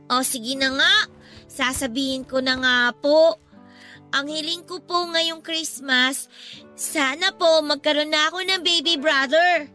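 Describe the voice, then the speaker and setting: A sweet little girl in a radio drama, with a piping, very high voice. She pleads politely and a bit whiny, with music playing underneath. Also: steady tone, a little slow, pushed and bright. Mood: sweet pleading. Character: sweet, pleading little daughter begging for a brother.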